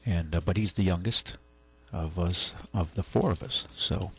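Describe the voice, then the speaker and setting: A man's voice: low-pitched, normal speed, a bit bright, slightly rough. An older man hosting a call-in radio talk show, rambling and folksy with many restarts. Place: radio studio.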